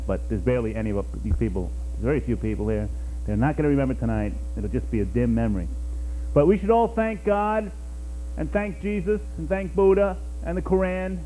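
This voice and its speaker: A man's voice: medium pitch, warm tone, a little hiss or hum, rough recording. A man from New York doing a rambling, self-mocking stand-up routine, talking to the crowd on a small cafe stage. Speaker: stand-up comedian.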